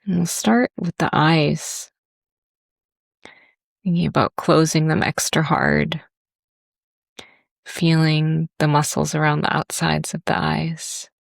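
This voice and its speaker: Female meditation teacher, gentle and very unhurried, low register with some vocal fry, giving calm body-scan instructions. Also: no room sound, clean studio sound. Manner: gentle, unhurried, with long pauses.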